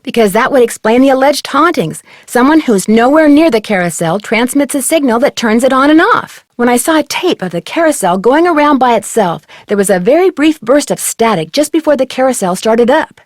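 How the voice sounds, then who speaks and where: Woman playing a high-voiced, eager young female detective. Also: animated, brisk, few pauses, no room sound, studio quality, lightly acted. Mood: curious, eager. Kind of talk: scripted adventure game voice acting.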